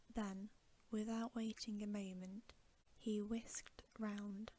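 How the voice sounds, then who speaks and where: Female ASMR reader for bedtime stories: hushed, gentle whisper, very slow pacing, even intonation. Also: mid-range voice, rough recording. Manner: soft, gentle, hushed and unhurried.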